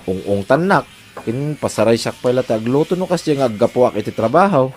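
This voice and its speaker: A man's voice: mid-range voice, animated, brisk, a little mellow, close to an everyday voice. Man acting a domineering Ilocano husband, noisy recording. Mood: grumbling. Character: domineering, grumbling, cruel husband Fred. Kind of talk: Ilocano audio drama acting.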